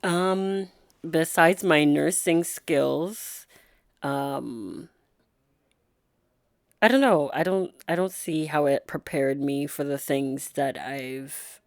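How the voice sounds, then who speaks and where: A woman being interviewed as a guest, a nurse, speaking in a soft-spoken, thoughtful way with frequent pauses and fillers. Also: deep voice, dry recording, studio quality. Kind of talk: reflective interview conversation.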